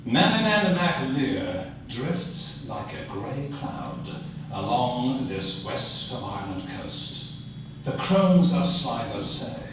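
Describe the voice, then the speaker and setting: Slow, echoey man reciting poetry. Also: medium pitch, big pitch swings. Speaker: poetry reader at an event. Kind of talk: live poetry reading. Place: auditorium stage at a public reading. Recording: echoey auditorium, distant mic, noisy.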